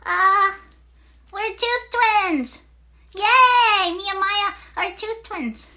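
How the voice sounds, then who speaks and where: A very high, bright voice of an excitable little girl puppet. She chats to young viewers in an unhurried, halting way, losing her thread and starting over like a small child hosting a live puppet show. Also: exaggerated voice. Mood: excited. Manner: childlike, halting, self-interrupting chatter. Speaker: puppet host.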